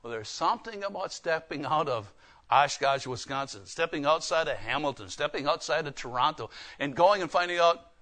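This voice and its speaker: A man delivers a sermon in a high, forceful voice. He is folksy and emphatic, moving between personal storytelling and pointed questions to his listeners. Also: expressive, moderate pace. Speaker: preacher and missionary.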